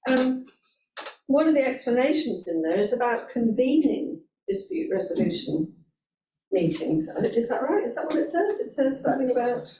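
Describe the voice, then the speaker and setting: A woman on a committee presses for clear answers, questioning persistently and asking to finish her point. She sits away from the microphone in a roomy council chamber, with faint background hiss. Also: high voice, sing-song, moderate pace, dark tone. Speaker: committee member. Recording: distant mic in an echoey room, faint noise.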